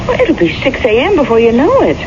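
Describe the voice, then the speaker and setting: A woman's voice: high voice, wildly swooping pitch, fast talker. A woman playing a nagging, suspicious wife who presses with querulous questions, in 1970s radio drama style. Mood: coaxing, weary. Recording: muffled vintage radio, noisy background.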